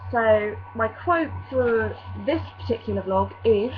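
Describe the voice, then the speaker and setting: A woman's voice: a little high, expressive, a little slow. A young woman from vlogging casually, rambling and apologetic, her nose slightly stuffy from a cold. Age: young adult. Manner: rambling and self-apologetic, with many fillers. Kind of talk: casual chatty vlog. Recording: dull lo-fi recording with faint hum.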